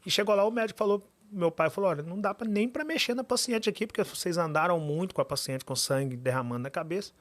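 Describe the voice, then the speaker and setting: A man, a podcast guest telling his life story with animated, emphatic repetition. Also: sits high, quick pace, few pauses, no room sound. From Minas Gerais, Brazil.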